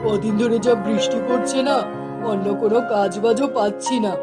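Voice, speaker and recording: A woman's voice: a little high, fairly even intonation, normal speed, over loud music, close to an everyday voice. A woman voicing a poor, anxious village fisherman who exclaims and laments in a cartoon fairy tale. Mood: dismayed. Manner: talking to himself, exclaiming and lamenting.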